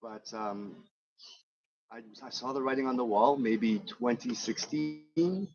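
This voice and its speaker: A man's voice: medium pitch, takes it slowly, lo-fi sound, music in the background. A man from Montreal whose first language is French, talking hesitantly as an interview guest with many restarts. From Montreal, Canada. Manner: hesitant, with repeated starts and "you know". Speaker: interview guest, bed and breakfast owner. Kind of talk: casual conversation. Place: outdoors on a balcony overlooking volcanoes.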